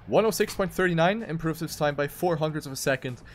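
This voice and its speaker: Higher-pitched man giving live racing commentary. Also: a little fast, a little hiss or hum. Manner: animated play-by-play, repeats phrases for emphasis. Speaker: esports race commentator.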